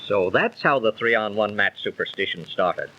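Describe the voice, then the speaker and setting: An actor playing a confident, needling skeptic in a 1930s radio drama, insistent and persuasive. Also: male voice, fairly low pitch, animated, moderate pace, pushed and bright. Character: confident, needling skeptic chatting with his pal. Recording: muffled old 1930s radio recording.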